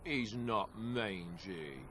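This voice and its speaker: Wry adventurer, lowish voice. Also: male voice, expressive, very slow, very noisy. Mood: casual. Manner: casual, wry. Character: wry, easygoing young male adventurer companion. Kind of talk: video game voice acting.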